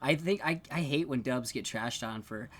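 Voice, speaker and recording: A woman's voice: extremely low pitch, normal speed, a little hiss or hum. A woman on a podcast panel chatting casually in an informal, slangy way. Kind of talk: casual chat.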